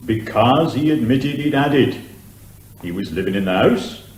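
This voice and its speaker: A man's voice: mid-range voice, expressive, normal speed, a little mellow, natural voice. A man playing a plain-spoken, deferential and slightly flustered police constable giving evidence in a courtroom comedy drama. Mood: flustered, earnest. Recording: echoing room.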